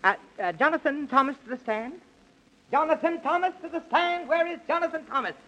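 An actor playing a pompous, frantic, stammering professor in a 1930s children's radio comedy. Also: male voice, extremely high pitch, fairly quick, pushed and bright. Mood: blustering. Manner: stammering, repeating himself, overblown alliterative oratory. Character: frantic, pompous, loony male professor prosecuting in mock court. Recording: muffled vintage 1930s radio recording.

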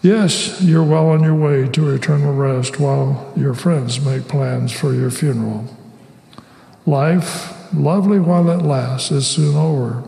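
A man reads scripture slowly and deliberately, his voice gravelly and dark, set a little high for a man. The sound rings through a large hall with long, roomy reverb. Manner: slow, measured, gravelly delivery. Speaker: scripture reader. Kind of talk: slow scripture reading. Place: church sanctuary.

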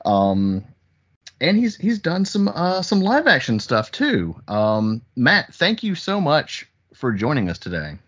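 A man hosting a casual interview, speaking haltingly and with compliments for his guest. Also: a little high, wildly swooping pitch, a bit bright, husky, highs cut off. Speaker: interview host.

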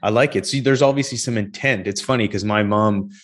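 A middle-aged man from Canada hosts a podcast over a video call. His voice sits on the low side, and he talks in an upbeat, chatty way that drifts into rambling asides. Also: brisk. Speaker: podcast host. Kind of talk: casual interview chat.

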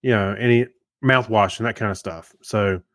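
A man co-hosting a casual tech news show, talking in a relaxed, agreeable way with joking asides. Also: on the low side, normal speed, no room sound, studio quality. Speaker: podcast co-host.

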